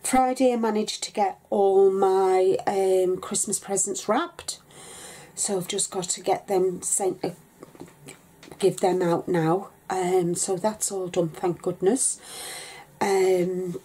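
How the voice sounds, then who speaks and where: A woman's voice: mid-range voice, steady tone, takes it slowly, dark tone, husky, rough recording. A woman, chatting casually to a desk webcam in her craft room at home, rambling with plenty of ums. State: bunged up with a cold, coughing. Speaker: craft vlogger. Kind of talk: casual chat to camera.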